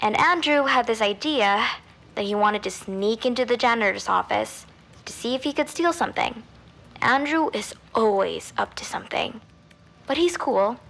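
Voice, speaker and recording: A chatty high school girl, gives recorded testimony in an audio drama. Her voice is fairly high with plenty of fry, and she tells things in a candid, offhand, youthful way. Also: fairly bright tone, heavily acted.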